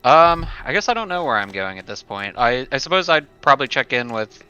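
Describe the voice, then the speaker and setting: Bright-voiced man playing a tabletop game. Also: medium pitch, sing-song, brisk, smooth, lo-fi sound. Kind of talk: casual in-game chat.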